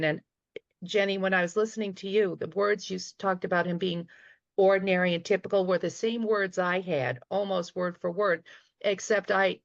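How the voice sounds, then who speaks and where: Gravelly Boston woman on a video call. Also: mid-range voice, no echo, highs cut off. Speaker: guild director. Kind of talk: prepared remarks on a video call.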